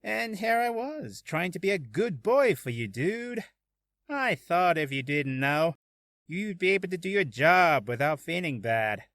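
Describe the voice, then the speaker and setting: A man's voice: a little high, very animated, unhurried, a bit bright, dry recording, clean studio sound, full character voice. A man playing a slick young celebrity, laid-back yet arrogant, in a video game. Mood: pleading, casual. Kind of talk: video game character acting.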